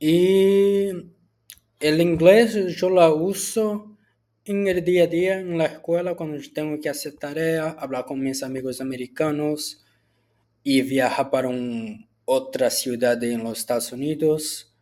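A man from Brazil, a student whose first language is Portuguese, speaks Spanish with a Brazilian Portuguese accent as he answers set questions for a school assignment, often restarting words and filling pauses with "ah". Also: on the high side, fairly even intonation, dark tone. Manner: halting, with frequent restarts and filler "ah".

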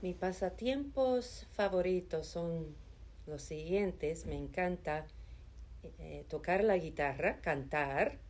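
Middle-aged woman, low voice, unhurried, earnest interviewee. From Chicago, United States. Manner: calm and earnest, with hesitation fillers. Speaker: interviewee, nonprofit administrative assistant. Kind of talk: conversational interview answers.

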